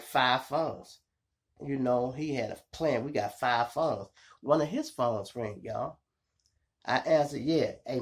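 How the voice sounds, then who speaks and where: A man with a Chicago accent and a gravelly voice, hosting a show and telling street stories in slangy, animated style, acting out the dialogue. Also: mid-range voice. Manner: animated, slangy, addressing listeners as y'all. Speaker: online show host and storyteller. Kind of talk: casual street storytelling with acted-out dialogue.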